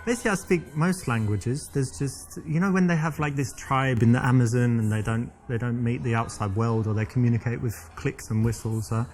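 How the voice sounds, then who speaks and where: A man's voice: medium pitch, brisk, few pauses, darkish tone, slight background noise, lightly acted. An actor in his thirties with a British accent playing a boastful, tactless young man rambling on a date. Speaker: game actor and dating coach. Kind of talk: interactive game scene acting.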